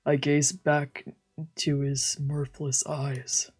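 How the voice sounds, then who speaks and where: A woman streamer with a breathy voice reading visual novel text aloud as dramatic narration. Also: deep voice, monotone, extremely slow, a little mellow. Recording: game music behind the voice. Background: game music.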